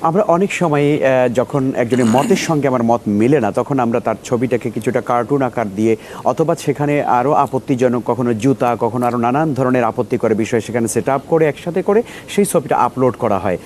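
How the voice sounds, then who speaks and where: A male host with a Bangladeshi accent poses questions in an interview style on a religious programme. His voice sits at a medium pitch for a man. A light rumble runs underneath. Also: brisk, darkish tone. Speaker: host putting questions to a scholar.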